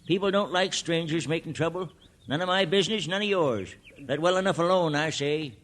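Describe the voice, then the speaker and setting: An actor playing a suspicious old rural American local with a folksy drawl, in 1940s radio style. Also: male voice, a little high, a little fast, close to an everyday voice. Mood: suspicious, warning. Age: older. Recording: noisy vintage radio recording.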